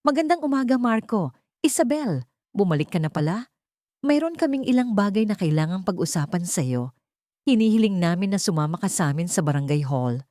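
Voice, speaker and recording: A woman voicing a serious male police officer in an audio story, calm, firm and official. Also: medium pitch, fairly quick, no echo, studio quality, pitched above the performer's own voice, natural voice.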